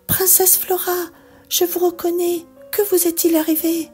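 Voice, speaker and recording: A woman's voice: extremely high pitch, little pitch movement, unhurried, dark tone, faint music behind, pitched above the performer's own voice. A woman voicing a small, kindly male elf from a children's storybook, concerned and earnest. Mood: worried. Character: kindly little male elf helper with sparkling wings.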